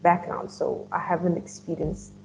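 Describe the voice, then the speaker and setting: A young woman, a refugee student on a panel, speaking earnestly with pauses and restarts. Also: on the low side, vocal fry, darkish tone. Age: young adult. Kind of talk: roundtable discussion. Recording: dull, faintly noisy remote audio.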